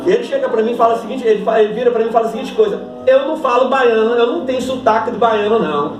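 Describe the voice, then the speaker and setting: A man's voice: very high-pitched, rapid-fire, faint music behind. A man preaching to his church congregation as pastor, joking and thanking members before reading scripture with emphasis. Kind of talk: sermon. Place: church hall. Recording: echoey church hall from a distance. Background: congregation ambience.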